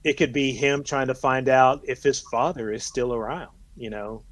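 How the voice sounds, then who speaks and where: A man's voice: mid-range voice, normal speed, no echo. A man thinking aloud in casual chat as a podcast panellist.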